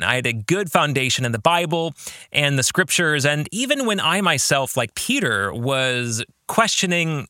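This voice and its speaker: Bright-voiced, slow-paced man, very animated pitch. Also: no room sound, clean studio sound. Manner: earnest, deliberate phrasing with rhetorical questions. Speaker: podcast host and author. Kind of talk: reflective book club podcast monologue.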